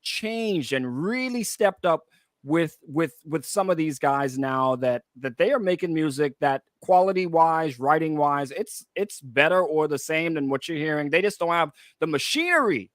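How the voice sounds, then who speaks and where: A man's voice: on the high side, expressive, normal speed, no room sound, clean studio sound. A Bahamian man hosting a casual radio discussion, emphatic and repeating himself for emphasis, often checking in with "right?". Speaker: radio host and music director. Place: radio studio.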